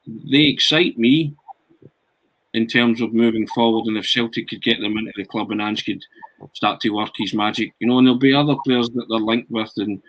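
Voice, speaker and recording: A man's voice: fairly low pitch, moderate pace, a bit bright, low-quality recording. A man talking football on a podcast, earnest and conversational with rhetorical asides. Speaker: podcast panelist. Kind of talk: casual football podcast chat.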